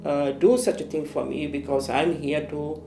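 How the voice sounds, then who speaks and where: A middle-aged man from Sri Lanka speaks in a documentary interview, halting often as he searches for words. His voice sits slightly high for a man and carries a gravelly edge. The room sounds echoey, and faint music plays underneath. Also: fairly even intonation, mellow. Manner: hesitant, many fillers and restarts. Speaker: interviewee recounting war memories. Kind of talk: documentary interview, personal recollection.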